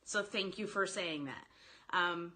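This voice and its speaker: A woman giving informal sales coaching on a live stream, talking directly to her viewers in an animated, chatty way. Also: fairly low pitch, slow. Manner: animated, informal, addresses listeners directly, quotes sample phrases. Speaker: sales coach hosting a live online training.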